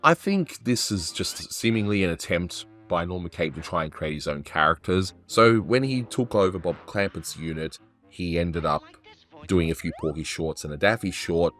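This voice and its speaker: A man's voice: low voice, animated, normal speed, fairly bright tone, faint music behind. A man hosting a casual animation review, chatty and analytical, with frequent restarts. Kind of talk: casual cartoon review commentary.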